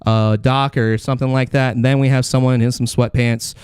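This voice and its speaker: A man's voice: medium pitch, level tone, fairly quick, a little mellow, smooth, dry recording, studio quality. A man hosting a bantering comedy game show on a podcast, with crude, profane, jokey talk.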